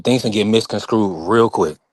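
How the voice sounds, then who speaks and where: A low-voiced man from North Carolina hosts a live boxing stream, speaking firmly and a little preachy. The sound is dry and close, with no room around it. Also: moderate pace, dry recording. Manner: firm, preachy, talks over others. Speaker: channel host. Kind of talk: heated casual live-stream chat.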